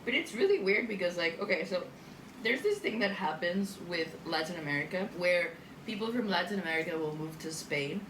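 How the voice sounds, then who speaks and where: A woman's voice: lowish voice, brisk. A young woman, chatting and rambling animatedly through stories while cooking in her home kitchen. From Mexico. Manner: rambling, animated storytelling with swearing. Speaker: cooking vlogger. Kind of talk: casual chatty cooking vlog. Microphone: far camera. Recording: roomy kitchen sound from a distance, audible noise.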